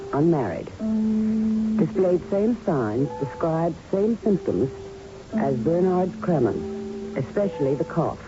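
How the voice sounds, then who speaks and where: An actress playing an earnest, principled young female plant doctor in a radio drama, speaking in a firm, formal, precise manner. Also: sits low. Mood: clinical, sober. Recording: muffled, faintly noisy old radio recording.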